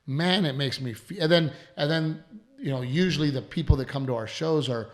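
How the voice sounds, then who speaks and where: A man's voice: on the high side, normal speed, darkish tone. A man, hosting a casual podcast and rambling in a worn, strained voice, repeating himself and swearing casually. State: voice worn from allergies and shows. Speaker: comedian and podcast host.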